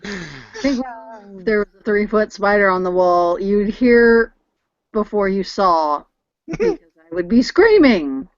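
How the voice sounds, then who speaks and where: A woman's voice: medium pitch, very animated, a little slow, pauses often. A woman chatting casually in a group discussion, on a slightly lo-fi recording. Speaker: radio play cast member on a wrap-up discussion.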